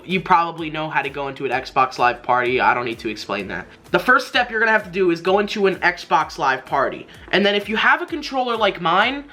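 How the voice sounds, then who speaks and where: Bright-voiced young man, casual tutorial host. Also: a little high. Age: young adult. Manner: informal, rambling, slangy. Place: small bedroom indoors.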